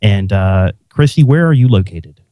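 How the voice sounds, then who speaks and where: A man's voice: on the low side, expressive, moderate pace, dark tone, no room sound. A man hosting a podcast, chatting casually and restarting his sentences often. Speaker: podcast host. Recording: dull lo-fi sound.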